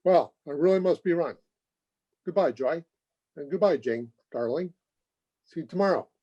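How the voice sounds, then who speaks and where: An actor playing an ardent, smooth-talking young suitor in an old-time radio play, urgent and pleading. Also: male voice, a little high, lively intonation, moderate pace. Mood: hasty, evasive. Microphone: video call. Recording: dull home video-call audio.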